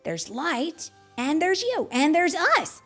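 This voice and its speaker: A woman's voice: very high voice, big pitch swings, slow, faint music behind, pitched above the performer's own voice, exaggerated voice. A woman voicing Thomas, a cheerful, kind male storybook creature who speaks in an upbeat, hearty way.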